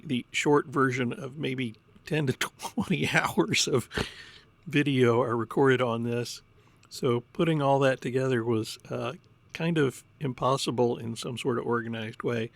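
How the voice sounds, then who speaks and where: A man's voice: medium pitch, creaky, no room sound. A man casually narrating his hobby repair work, chatty and rambling, with a self-deprecating way of talking. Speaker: host of a repair hobby channel. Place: home workshop.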